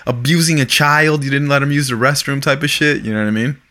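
A man's voice: medium pitch, fairly even intonation, quick pace, bright, forceful tone. A man who grew up outside the US, chatting on a podcast in a blunt, profane, slangy way. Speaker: podcast co-host. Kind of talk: casual chat.

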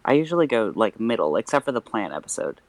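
A man's voice: lowish voice, sing-song, fast, dry recording. A young man co-hosting a podcast in casual chat, rambling with many fillers and nervous laughs. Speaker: podcast co-host.

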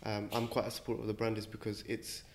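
Slightly croaky man hosting a podcast. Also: on the low side, fast talker. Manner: relaxed, many ums and filler words. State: a bit croaky, under the weather. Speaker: podcast host. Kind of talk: casual interview chat.

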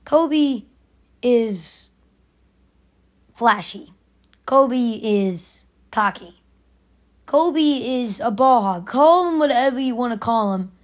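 A young woman with a raspy, slightly high voice hosts a solo podcast. She argues her opinion emphatically, circling back and repeating her points to drive them home. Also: lively intonation, slow pace, pauses often. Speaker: podcast host.

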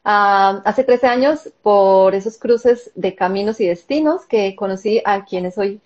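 A Colombian woman, a psychologist and therapist, telling her personal story in an interview, softly and reflectively with frequent pauses. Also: mid-range voice, dull audio. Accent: Colombian Spanish. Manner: soft, reflective, with hesitant fillers.

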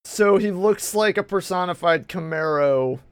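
A man's voice: high-pitched, measured pace, clean tone, dry recording. A man hosting a casual podcast, rambling and swearing freely, often stumbling over his words and restarting. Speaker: podcast host. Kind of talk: casual banter.

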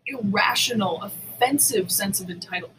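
A woman's voice: mid-range voice, normal speed, sharp tone, slight background noise, low-quality recording. A woman co-hosting a casual chat, speaking emphatically and indignantly, swearing freely and repeating words for stress. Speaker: podcast co-host.

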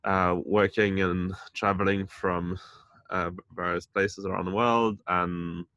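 A low-pitched man with a gravelly, bright tone talks loosely about himself as a podcast guest. He often pauses and fills with "um", and the sound is dry and close. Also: little pitch movement, no echo, muffled recording. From France and Denmark. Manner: hesitant, many fillers and restarts. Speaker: community founder, podcast guest. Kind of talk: casual interview chat.

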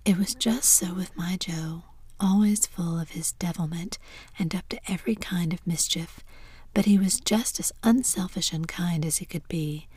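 A woman's voice: fairly low pitch, normal speed, darkish tone, husky. A woman whispering as a tearful, remorseful mother in a storybook reading. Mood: sobbing.

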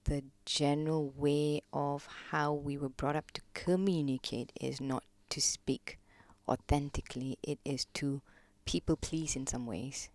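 A woman, a podcast guest reflecting on her life in a slow, hesitant way, pausing often. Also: sits low, flat delivery, dry recording. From Malaysia. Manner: slow, hesitant, many pauses and ums, soft-spoken. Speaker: podcast guest, gong practitioner. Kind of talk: reflective personal interview talk.

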